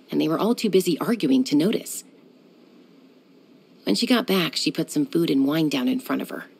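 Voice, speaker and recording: Husky, low cool sky-hunter woman. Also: darkish tone, heavily acted. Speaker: game character. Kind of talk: video game voice lines.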